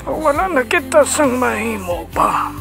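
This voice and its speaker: Guy acting solemn commander, slow and mournful, faint music, audio drama. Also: very high-pitched, big pitch swings, gravelly, heavily acted. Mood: solemn, regretful. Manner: solemn, deliberate. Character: solemn male commander mourning a fallen hero.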